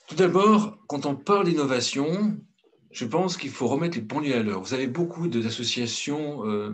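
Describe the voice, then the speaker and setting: Man, middle-aged, from France, consultant presenting, blunt, outspoken, hesitant restarts, husky. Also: medium pitch. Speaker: consultancy director giving a presentation. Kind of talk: conference talk.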